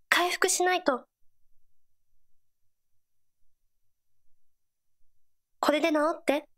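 Game companion, teenage girl: high voice, earnest, gentle, supportive, short encouraging lines. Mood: earnest, determined. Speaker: game character voice actor. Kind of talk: scripted game character voice lines.

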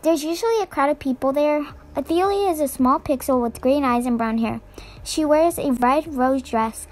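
Girl voicing a helpful gardener lady, really high voice, just giving info, fan movie, little bit of bg noise. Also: normal speed, a little mellow, natural voice. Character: helpful female garden designer and witness. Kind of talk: amateur fan film voice acting. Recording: faint background noise.

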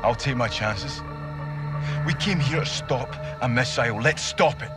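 Actor as determined soldier over music. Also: male voice, medium pitch, measured pace, close to an everyday voice. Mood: resolute.

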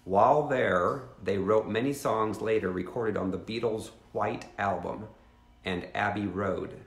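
A man's voice: on the low side, unhurried, echoey room. Earnest fifties man reading a teaching. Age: 50s. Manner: earnest, reads steadily with asides to viewers. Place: living room at home.

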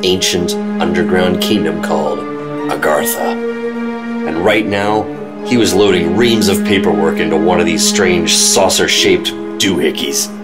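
A man's voice: low-pitched, measured pace. An actor playing a hard-boiled American G-man, narrating dramatically in old-time pulp radio style with an American accent. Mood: dramatic, suspenseful. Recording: loud music under the voice.

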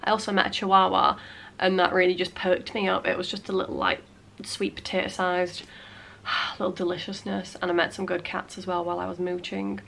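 A woman's voice: on the low side, level tone, a little fast, creaky, fairly bright tone, a little hiss or hum. Chatty woman with a cold. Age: late 20s. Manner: chatty, frequent "like" fillers, playful asides. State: congested with a cold. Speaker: vlogger. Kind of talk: casual chat. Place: small cluttered home room.

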